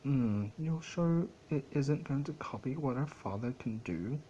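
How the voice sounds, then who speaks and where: A man's voice: mid-range voice, expressive, a little slow, mellow, muffled recording, slight background noise, pitched above the performer's own voice, lightly acted. A man voicing a wary, skeptical mare who talks formally to a sister in a storybook reading.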